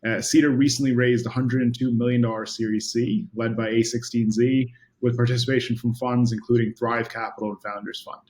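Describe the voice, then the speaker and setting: A man's voice: mid-range voice, flat delivery, brisk, darkish tone, rough recording. Upbeat man hosting a video interview. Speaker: podcast host.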